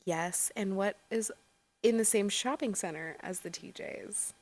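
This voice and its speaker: A woman's voice: mid-range voice, unhurried, a little mellow. A woman co-hosting a podcast, joking playfully with a friend in casual chat. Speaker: podcast co-host. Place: indoors on a couch.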